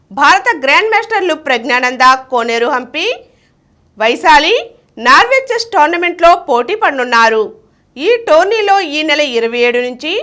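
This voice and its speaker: A woman reads the news from a script. Her voice sits very high and has a bright, forceful edge. The intonation is animated, moving widely up and down as she narrates the bulletin. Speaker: news reader.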